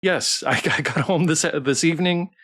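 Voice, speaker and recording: A man's voice: on the high side, normal speed, fairly bright tone. A tired man hosting a game livestream, chatting casually with viewers in a hesitant, rambling way with repeated phrases and restarts. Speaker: livestream host playing a visual novel. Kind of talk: casual chat with stream viewers.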